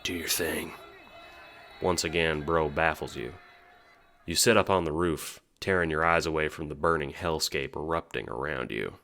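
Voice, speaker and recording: A man's voice: a bass voice, moderate pace, creaky, sharp tone. A man reading scripted second-person narration for an audio drama.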